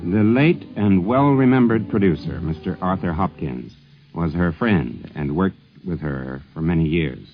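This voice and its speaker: A man with a low voice narrates as host of a 1950s radio program. His delivery is scripted, and the recording is dull and old. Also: expressive, measured pace, soft background music.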